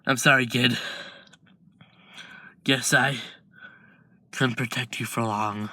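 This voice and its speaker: A man voicing a dying older brother who speaks softly and quietly. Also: mid-range voice, fairly even intonation, slow, dry recording, studio quality. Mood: fading. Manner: soft, quiet and fading.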